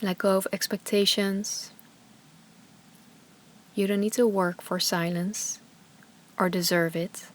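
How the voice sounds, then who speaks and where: A woman yoga teacher leading a guided meditation with slow, soothing instructions, sometimes dropping to a soft whisper. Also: medium pitch, fairly even intonation.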